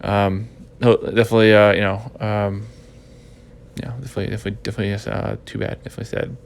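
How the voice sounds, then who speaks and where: A man's voice: fairly low pitch, little pitch movement, fast, vocal fry. A stuffy, congested man hosting a casual podcast recap, rambling and repeating himself as he thinks. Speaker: podcast host.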